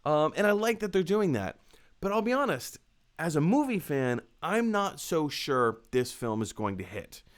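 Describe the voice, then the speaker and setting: Guy hosting a live movie chat, super animated up and down voice, casual and opinionated, repeats himself for emphasis. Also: on the high side, moderate pace. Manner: animated, conversational, with emphatic repeats and self-corrections. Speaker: host of a live movie discussion show.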